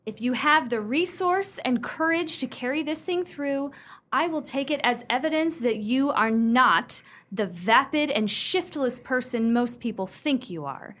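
Woman acting imperious young society fiancée, high-pitched, prim, stern, formal diction, indignant, radio play. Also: expressive, measured pace, a bit bright. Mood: commanding.

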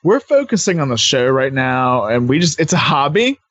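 A man of average pitch talks as a podcast guest. His delivery is casual and conversational, and the sound is very dry, with no audible room. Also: sing-song, normal speed, a bit bright, dry recording.

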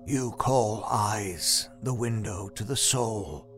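A man voicing a solemn, otherworldly Martian creature that speaks gravely and deliberately, as in an audio horror story. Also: on the low side, a bit bright, faint music behind, pitched below the performer's own voice. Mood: grave, cold. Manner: slow, grave, deliberate pronouncements.

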